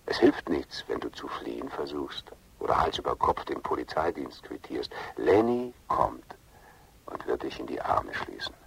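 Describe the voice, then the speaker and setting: An actor playing a self-assured, smitten seducer in a radio drama, speaking in a breathy, gravelly half-whisper with menacing tenderness. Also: male voice, extremely low pitch, sing-song, normal speed, a little hiss or hum, heavily acted, rough recording. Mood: insistent, seductive.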